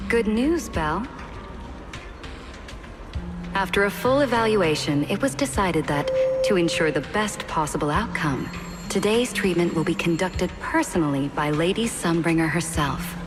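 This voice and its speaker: A woman playing a calm, courteous, professional staff guide who explains things articulately in a video game. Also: lowish voice, unhurried. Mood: friendly, reassuring. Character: composed, helpful female staff guide, politely professional. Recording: loud music behind.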